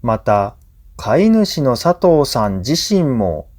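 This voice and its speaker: Slow-paced, expressive male story narrator. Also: medium pitch. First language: Japanese. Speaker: language teacher narrator. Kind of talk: scripted story narration for language learners.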